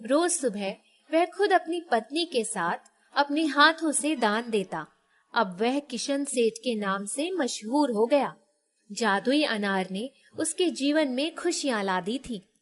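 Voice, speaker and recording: High-pitched woman narrating a story. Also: lively intonation, slow pace.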